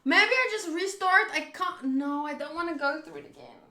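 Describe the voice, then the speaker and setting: A young woman chatting casually with viewers during a live game playthrough, animated and jumping between loud outbursts and mumbled asides. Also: sits high, normal speed, reverberant. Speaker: streamer.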